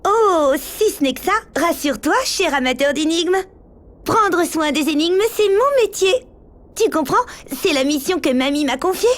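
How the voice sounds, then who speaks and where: A woman playing a bossy, fussy, self-assured girl who keeps puzzles in an animated game. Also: sits high, normal speed. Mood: smug. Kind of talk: animated game cutscene acting.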